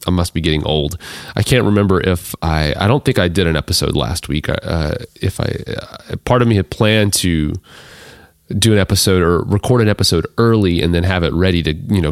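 A man with a deep baritone, hoarse from allergies, hosting a casual solo podcast, rambling with many restarts and fillers. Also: no room sound, clean studio sound. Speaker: podcast host.

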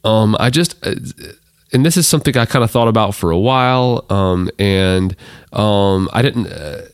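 A man with a deep baritone, hoarse from allergies, hosting a casual solo podcast, rambling with many restarts and fillers. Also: moderate pace, no room sound, studio quality. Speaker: podcast host.

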